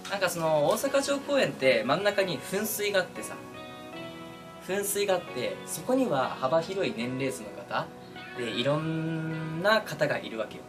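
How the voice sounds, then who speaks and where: A man hosting his own channel, talking casually to his followers with drawn-out hesitations and asides that seek agreement. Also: on the high side, expressive, music in the background. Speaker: online voice-drama creator and channel host. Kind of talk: casual monologue to camera, addressing followers.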